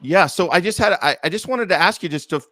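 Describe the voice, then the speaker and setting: A man's voice: high voice, lively intonation, fast talker, bright, forceful tone, no room sound. Man co-hosting, close dry sound. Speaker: show co-host. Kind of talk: casual interviewing.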